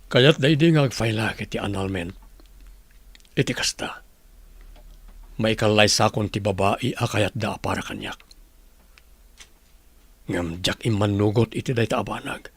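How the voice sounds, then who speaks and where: An actor in a radio drama playing an earnest, remorseful husband who pleads with devotion. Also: male voice, fairly low pitch, unhurried, pauses often, husky, dry recording. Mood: remorseful, tender.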